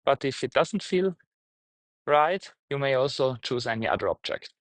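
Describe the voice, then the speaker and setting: A man guiding a meditation, speaking gently in short, halting phrases with pauses. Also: mid-range voice, sing-song, dry recording. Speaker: meditation teacher, guide. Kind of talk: guided meditation, unscripted instruction.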